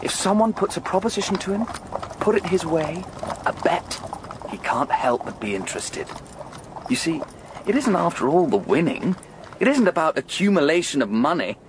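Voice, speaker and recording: A man's voice: a little high, big pitch swings, moderate pace. A man acting in a radio drama, playing a restless, thrill-seeking gambler who justifies himself intensely and intimately. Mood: fervent, musing. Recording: clear background vehicle noise.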